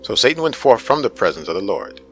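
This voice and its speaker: Lowish-voiced man narrating scripture. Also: big pitch swings, quick pace, a bit bright, soft background music, rough recording.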